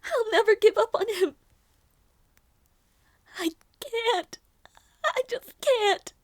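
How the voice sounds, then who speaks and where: A woman playing a grieving, devoted young princess in a dramatic audio roleplay, halting and emotional, with a breathy, whispery delivery. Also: extremely high pitch, expressive, no echo, exaggerated voice. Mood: tearful, desperate. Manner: halting, repeats phrases, emotional.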